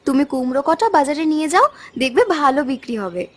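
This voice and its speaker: A woman's voice: high-pitched, lively intonation, fast talker. A woman playing a devoted, trusting young village wife in a scripted cartoon. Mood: earnest, supportive. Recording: music behind the voice. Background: background music.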